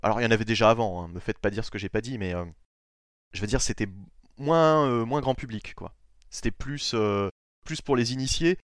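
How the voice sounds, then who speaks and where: A man's voice: mid-range voice, brisk, no room sound, studio quality. A man from France hosting a casual comics podcast, talking loosely with many hesitations and the odd swear word. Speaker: podcast host.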